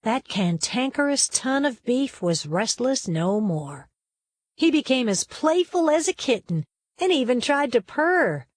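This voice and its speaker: Higher-pitched woman reading a storybook aloud. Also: big pitch swings, a little slow, dry recording. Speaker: read-aloud narrator. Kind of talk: expressive scripted storybook narration.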